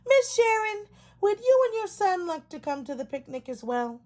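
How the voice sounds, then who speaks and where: A woman's voice: extremely high pitch, sing-song, normal speed, pitched above the performer's own voice. A woman voicing a friendly, thoughtful young duck in a children's storybook, polite and enthusiastic. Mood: eager, polite. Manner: bright-spirited, polite, enthusiastic.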